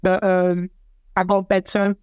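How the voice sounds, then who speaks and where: Soft-spoken young woman, from Cameroon, French native. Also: medium pitch, little pitch movement, a little slow, clear, resonant tone, dry recording, muffled recording. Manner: hesitant, repeats words, many fillers. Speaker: interviewee, athlete. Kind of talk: casual personal storytelling.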